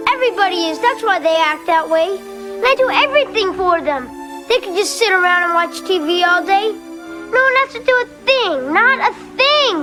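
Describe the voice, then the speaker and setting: A woman's voice: very high voice, animated, moderate pace. A performer playing a resentful, lonely young boy, accusing and wounded, in a film drama. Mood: aggrieved. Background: loud music.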